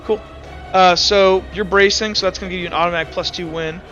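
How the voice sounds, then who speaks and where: A man running a tabletop roleplaying game as game master in a casual, halting way, thinking aloud as he narrates. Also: high-pitched, steady tone, sharp tone, muffled recording, soft background music.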